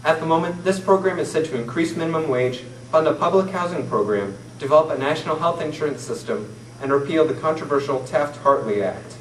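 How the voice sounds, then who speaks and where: A man's voice: fairly high pitch, fairly quick. Teen boy reading mock newsreel. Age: teens. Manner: formal, steady reading from a script. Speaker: student newsreader. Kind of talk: mock 1940s newscast read from paper. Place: classroom, seated at a table. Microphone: far camera mic. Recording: roomy, distant camera audio with faint hiss.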